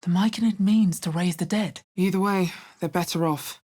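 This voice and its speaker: A woman playing a wry, resolute, watchful adventurer heroine in a video game, with a deep, husky voice and a measured, understated delivery. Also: darkish tone. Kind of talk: video game character voice lines.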